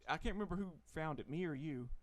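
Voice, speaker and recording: A man with a Texan accent hosting a casual livestream, relaxed and chatty, laughing often. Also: a little high, fast talker, dry recording. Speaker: host.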